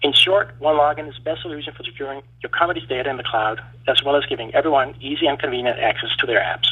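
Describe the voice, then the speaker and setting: A man from Denmark, a startup founder, calling in and delivering a rehearsed sales pitch in a steady, read-through way. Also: fast talker, breathy edge. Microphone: phone call. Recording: tinny phone line.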